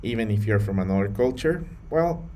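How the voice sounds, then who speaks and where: A man from Colombia, earnest and measured, talking to camera with a few fillers and restarts. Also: fairly low pitch, animated, mellow, a little hiss or hum. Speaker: vlogger on data careers. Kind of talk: to-camera talk.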